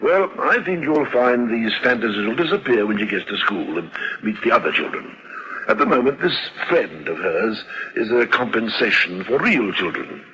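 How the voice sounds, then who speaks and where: An actor playing an avuncular, unhurried old family doctor in a radio drama, full of reassurance. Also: male voice, mid-range voice, lively intonation, bright, forceful tone, a little hiss or hum, low-quality recording. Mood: reassuring.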